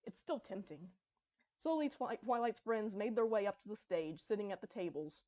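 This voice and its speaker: A woman's voice: mid-range voice, lively intonation, a little fast, dark tone. Woman narrating a story, slightly muffled sound. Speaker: fan fiction writer reading own story.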